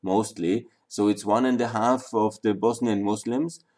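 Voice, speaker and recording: A man with an Austrian German accent gives a lecture in a lowish voice. He speaks haltingly, padding his sentences with fillers and often restarting them. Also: fairly even intonation, a little mellow. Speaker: speaker on Balkan history. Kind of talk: lecture to an audience.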